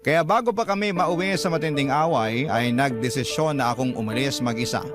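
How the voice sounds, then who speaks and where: Radio drama narrator: reflective, troubled boyfriend played by a man, scripted first-person delivery, mid-pitched, clear music behind. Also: a little fast, natural voice. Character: heartbroken live-in boyfriend recounting his troubled relationship. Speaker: narrator of a letter sender's story.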